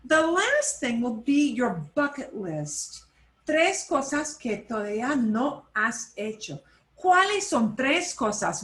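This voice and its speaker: A woman teaching a Spanish class over a video call, switching between Spanish and English and repeating phrases slowly. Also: on the high side, fairly bright tone, echoey room. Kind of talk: online class instruction.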